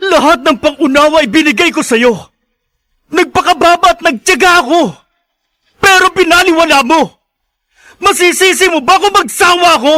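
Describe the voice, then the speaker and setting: An actor playing an earnest, conciliatory young husband in a radio drama, with a Filipino accent. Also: male voice, extremely high pitch, normal speed, bright, forceful tone, no echo. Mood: hurt, weary.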